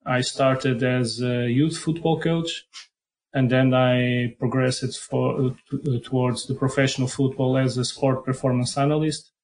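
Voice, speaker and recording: A middle-aged man from Portugal with a strong Portuguese accent, answering interview questions in English with many pauses and repeated words. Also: medium pitch, fairly even intonation, a little mellow. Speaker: head of football performance analysis.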